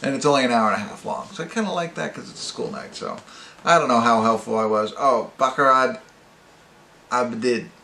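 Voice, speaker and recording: Hesitant man with a gravelly, creaky voice. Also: medium pitch, a little fast, pushed and bright. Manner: hesitant, rambling, searching for words. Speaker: amateur movie reviewer and host. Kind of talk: casual off-the-cuff movie review. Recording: roomy echo, faint background noise.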